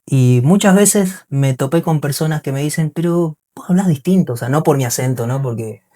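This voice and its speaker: A man's voice: on the high side, moderate pace, dark tone. A man from Bolivia with a mixed Bolivian and Argentine accent, chatting casually as a podcast guest, explaining things earnestly and often checking that he is understood. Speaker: interview guest, singer and musician.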